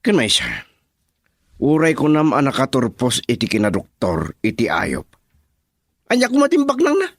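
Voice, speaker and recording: A man's voice: medium pitch, huge pitch swings, normal speed, long pauses, dry recording, studio quality. A man acting a lovesick, jealous young suitor in an Ilocano radio drama. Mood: jealous, bitter.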